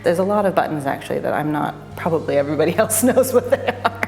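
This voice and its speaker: Low husky-edged woman, chatty. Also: animated, fast, a little mellow, faint music behind. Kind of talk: casual interview.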